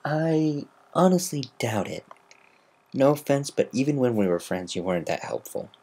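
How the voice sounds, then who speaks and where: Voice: mid-range voice, big pitch swings, moderate pace, dark tone, husky, pitched above the performer's own voice. Brash young pegasus mare, acted by a reader. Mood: irritated. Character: brash cartoon pegasus mare, proud, defensive, hurting underneath. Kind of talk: dramatic fan fiction reading.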